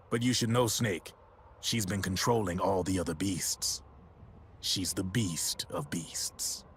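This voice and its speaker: A grim middle-aged dealer in a game cutscene, telling a dark tale in a very low voice. His delivery is slow and grave, sometimes dropping into hushed near-whispers, with a faint hum of noise underneath. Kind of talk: video game cutscene voice acting.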